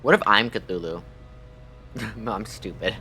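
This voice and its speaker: A man doing casual, profane, jokey livestream commentary while playing a game, thinking out loud. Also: fairly low pitch, unhurried, slight background noise.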